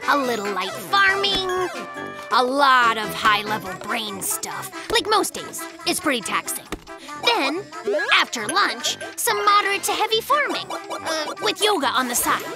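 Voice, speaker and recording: An actor voicing an excitable, boastful young male bear cub in a children's cartoon, whiny and dramatic in delivery. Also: sits high, measured pace, heavily acted. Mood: boastful, rambling. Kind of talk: children's animated cartoon voice acting. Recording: music playing behind the voice.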